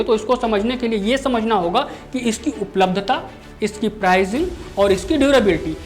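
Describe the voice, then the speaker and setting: A woman news anchor explaining a story in long, partly ad-libbed sentences that circle back on phrases. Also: fairly high pitch. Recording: music bed under the voice. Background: background music.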